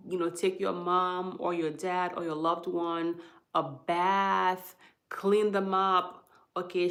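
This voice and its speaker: A woman hosting a live stream about hospice, explaining patiently and checking in with viewers after each point. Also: fairly low pitch. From Haiti. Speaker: hospice worker and livestream host.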